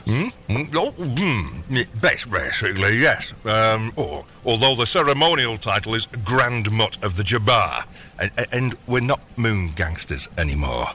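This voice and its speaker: A man's voice: fairly low pitch, very animated, a little slow, sharp tone, heavily acted. An actor playing a bumbling, evasive middle-aged father turned crime boss in an audio comedy, stammering and hesitating as he tries to placate. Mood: flustered, evasive. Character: bumbling, evasive long-absent dad turned gangster boss. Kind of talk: audio comedy drama acting.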